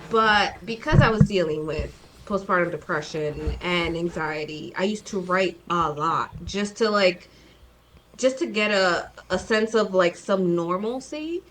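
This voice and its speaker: A woman's voice: lowish voice, fairly bright tone, a little hiss or hum, low-quality recording. Chatty woman full of fillers. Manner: chatty, fast talker with many fillers and acted-out quotes. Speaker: guest, children's book author. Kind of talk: casual interview conversation.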